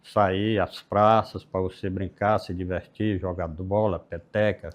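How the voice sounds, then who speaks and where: A man, talking as a guest. His voice sits fairly low, and he speaks in an unhurried, reflective way. His sentences often trail off softly. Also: level tone. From Piauí, Brazil. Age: 60s. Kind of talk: casual interview.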